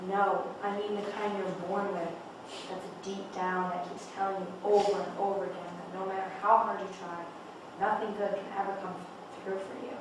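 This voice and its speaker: A woman's voice: medium pitch, little pitch movement, normal speed, natural voice. A woman playing a defiant, desperate writer in a dramatic script read-through. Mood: earnest, desperate. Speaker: actor reading a script. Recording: very echoey, distant mic, noisy room.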